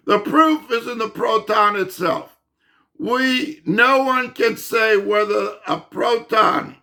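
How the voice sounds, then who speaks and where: A man explaining physics in an unscripted monologue, insistent and emphatic, halting with fillers and restarts, and losing his voice. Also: sits high, vocal fry, pushed and bright. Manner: insistent, emphatic, repeats himself. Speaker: channel host explaining physics.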